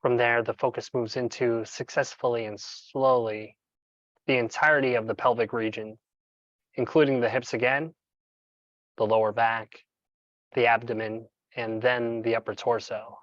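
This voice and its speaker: Male coach for guided meditation narration. Medium pitch, measured and instructional, pausing deliberately. Dry, slightly dull recording. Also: flat delivery, fairly bright tone, no echo.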